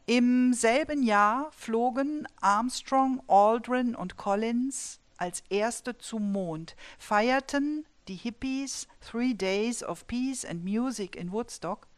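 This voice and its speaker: Slow, deliberate woman in her fifties. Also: a little high. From Saar-Palatinate border region of Germany. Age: 50s. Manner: measured, deliberate recitation. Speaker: poet and dramaturge. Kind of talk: author presenting and reading her own poetry.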